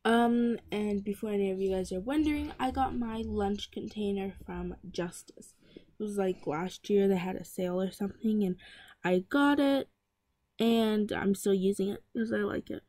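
A woman's voice: mid-range voice, moderate pace, mellow. A teenage girl talking casually about packing her lunch in a home kitchen, rambling with lots of fillers and restarts. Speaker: vlogger. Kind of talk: casual voice-over chat.

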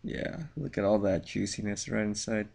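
A man's voice: fairly low pitch, fairly even intonation, normal speed, creaky, darkish tone. A young man hosting a casual home cooking tutorial, talking in a laid-back way with lots of fillers. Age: young adult. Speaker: cooking show host. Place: cluttered room mid-move, indoors.

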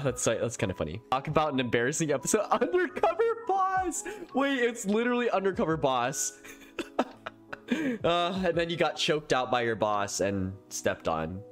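A man in his thirties giving casual, exclamatory live reactions as a streamer, on a close desk mic. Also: mid-range voice. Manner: excitable, exclamatory. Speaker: streamer reacting to a game trailer. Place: small room at home, indoors. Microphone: close desk microphone. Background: faint game trailer music.

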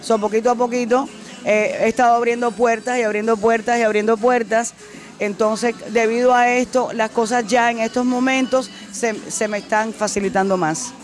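A middle-aged woman from Cuba, a singer answering interview questions in a casual way, animated and repeating phrases for emphasis, into a handheld mic in a restaurant. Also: on the high side, normal speed. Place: decorated restaurant, indoors. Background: faint music.

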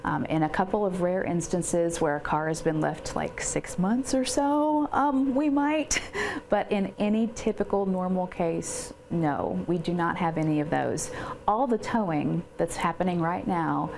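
Woman in her 30s, low-ish voice, relaxed interview talk outdoors, clear background noise. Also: a little slow. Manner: casual, fillers like um and like. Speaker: downtown development coordinator. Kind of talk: news interview. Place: outdoors by a building.

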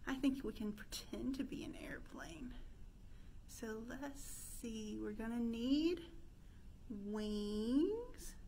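Female children's storyteller, high voice, unhurried sing-song delivery, questions to little listeners. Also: lively intonation, warm tone, slight background noise, poor audio. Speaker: children's librarian hosting storytime. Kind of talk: children's storytime, interactive pretend play. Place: indoor room with stone fireplace.

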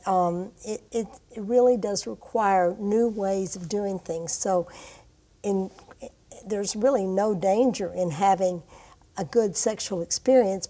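This is an older woman. Her voice is mellow and rounded, with no hard edge. She speaks as an expert explaining things at a round-table studio discussion. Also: mid-range voice. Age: older, around 60s-70s. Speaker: expert panelist. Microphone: lapel mic.

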